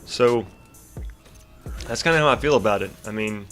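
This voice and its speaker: A young man with a stuffy, congested voice, pitched fairly low, rambling in a casual, frustrated rant full of ums and restarts. Faint music plays softly underneath him. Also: normal speed. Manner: rambling, frustrated ranting with frequent fillers and restarts. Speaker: gaming YouTuber commentating gameplay. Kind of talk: casual gameplay commentary.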